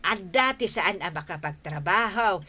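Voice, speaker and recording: A woman's voice: fairly low pitch, expressive, moderate pace, bright, forceful tone. A woman playing a strict, scolding mother in an Ilocano radio drama, exclaiming and admonishing, with an Ilocano Filipino accent. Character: scolding, disciplinarian mother and wife.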